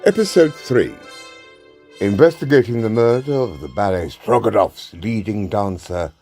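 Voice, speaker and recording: A man introduces a serialized audiobook in a scripted announcer's style. His voice is soft-edged and mellow with very lively intonation, and violin music plays faintly behind him. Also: lowish voice, normal speed. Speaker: narrator announcing the episode.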